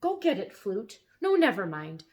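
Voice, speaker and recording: A woman voicing a pompous, excitable male bird king who shouts his orders. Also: mid-range voice, normal speed, lightly acted. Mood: bossy. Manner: loud, bossy, excitable.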